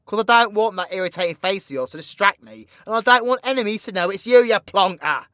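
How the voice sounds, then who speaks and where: A man's voice: high voice, expressive, fast talker, pushed and bright, clean tone, dry recording, clean studio sound. Man acting a cocky British villain, wildly swooping voice. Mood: bossy. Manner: brash, theatrical, crude British slang. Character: cocky, foul-mouthed male warlock villain. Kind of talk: villain audio roleplay acting.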